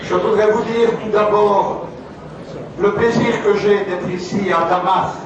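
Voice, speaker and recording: A man's voice: high-pitched, highs cut off. Belgian man orating in an echoey hall. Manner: measured, declamatory. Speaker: conference speaker. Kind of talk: formal conference speech. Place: large conference hall. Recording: echoey hall from far off, audience.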